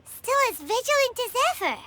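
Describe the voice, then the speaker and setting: A woman voicing a small, curious, excitable fairy companion with a squeaky childlike voice in a video game. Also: brisk, a little hiss or hum, exaggerated voice. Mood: puzzled. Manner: exclaiming, openly puzzled. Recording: music playing behind the voice.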